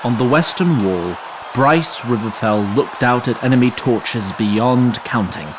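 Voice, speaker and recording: A man's voice: mid-range voice, measured pace, a crowd in the background, noisy background. A man reading dramatic scripted fantasy narration for an audio drama. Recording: studio narration over loud music bed.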